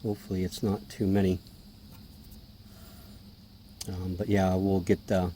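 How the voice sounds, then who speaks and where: Casual male hobby vlogger, hoarse, mellow low tone, offhand delivery, faint background hiss. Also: monotone, brisk. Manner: chatty, offhand, drops to a hush while watching. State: hoarse, clearing his throat. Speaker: tarantula keeper filming feedings. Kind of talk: casual hobby vlog narration.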